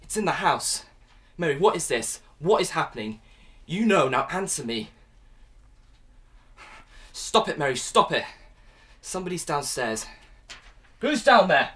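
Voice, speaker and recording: A woman playing a gruff blustering husband. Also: sits low, big pitch swings, measured pace, pauses often, a bit bright, exaggerated voice. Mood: alarmed. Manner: blustering, demanding answers. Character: anxious middle-aged husband and father. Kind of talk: student radio drama acting.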